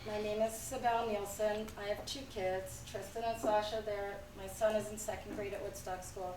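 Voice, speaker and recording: A woman with a hoarse voice from a cold, giving a public comment at a town meeting from notes. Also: mid-range voice, flat delivery. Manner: reading from notes, brisk but halting. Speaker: school board candidate. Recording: echoey room from far off, faint noise.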